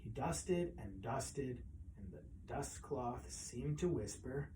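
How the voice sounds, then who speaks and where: A man reading a children's picture book aloud as a storyteller, expressively, with pauses and emphasis. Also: mid-range voice, expressive, mellow, a little hiss or hum, poor audio.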